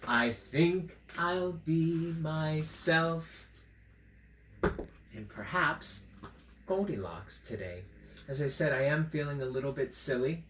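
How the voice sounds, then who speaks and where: Male children's story reader, unhurried and theatrically silly, recorded in an echoey room with noticeable noise. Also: fairly high pitch. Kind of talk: read-aloud and chat for children. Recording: roomy echo, clear background noise.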